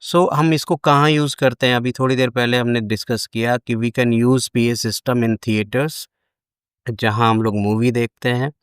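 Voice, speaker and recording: An Indian man teaches in an explanatory lecture style, with a smooth, clear voice at an ordinary pitch. He moves between two languages, often repeating a point in both. The sound is close and dry. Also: fairly even intonation, a little slow, no echo. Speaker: electronics lecturer. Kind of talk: explanatory lecture mixing Hindi and English.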